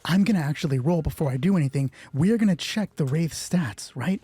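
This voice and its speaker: A man's voice: fairly high pitch, lively intonation, a little fast, mellow, slightly rough, dry recording. A man casually narrating an improvised solo roleplay game, thinking aloud and swearing offhandedly.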